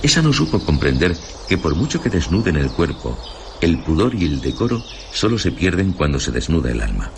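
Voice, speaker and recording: A man's voice: low voice, sing-song, fairly quick, vocal fry, slight background noise, exaggerated voice. An actor from a radio drama playing a grave older male storyteller with a Spain accent, telling a tragic village tale in a solemn, literary manner. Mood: bitter, grave.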